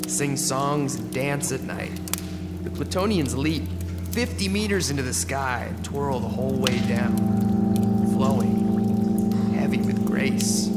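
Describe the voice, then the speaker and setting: A man playing a restless, grumbling but wistful human exile in a sci-fi audio drama. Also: mid-range voice.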